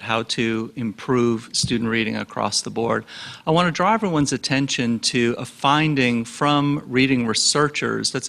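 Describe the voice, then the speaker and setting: A man's voice: medium pitch, creaky, a bit bright. A man on an expert panel giving a fluent, lecture-like explanation with everyday examples. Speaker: cognitive psychologist on a panel.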